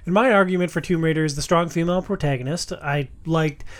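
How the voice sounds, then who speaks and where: A man's voice: on the high side, fast. A man hosting a podcast, leading a casual discussion about films. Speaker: podcast host. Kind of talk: casual moderated film discussion.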